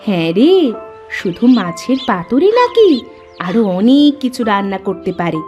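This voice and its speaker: A woman as a high-voiced kindly village mother. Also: very animated, measured pace, muffled recording, over music. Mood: cheerful. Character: kindly young village mother, cheerful and patient. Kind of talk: cartoon story acting.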